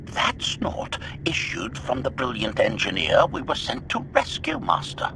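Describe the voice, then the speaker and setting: An actor voicing a deadpan, menacing assassin droid in a video game, with formal clipped phrasing and robotic processing. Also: male voice, medium pitch, unhurried, vocal fry, background noise, heavily acted. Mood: coldly deadpan. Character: deadpan murderous male assassin droid serving its master.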